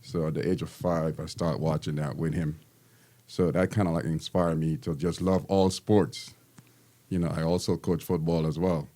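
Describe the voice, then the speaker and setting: A man in his fifties from Guyana, a teacher and basketball coach, answering interview questions in a friendly, halting way with many ums. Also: extremely low pitch, little pitch movement, dark tone.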